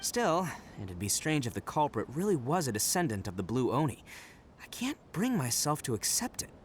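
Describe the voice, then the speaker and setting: A man's voice: medium pitch, very animated, normal speed, slight background noise, close to an everyday voice. A man voicing a boisterous, proud young male Oni gang leader in a game cutscene, given to hearty laughs. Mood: earnest. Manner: boastful, hearty laughs, earnest when serious.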